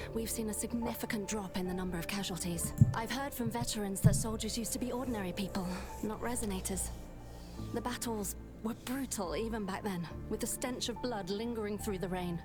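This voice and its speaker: A woman's voice: mid-range voice, close to an everyday voice. A woman playing a caring, firm army medic in a video game, speaking politely and with reassuring composure. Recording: background music over game dialogue.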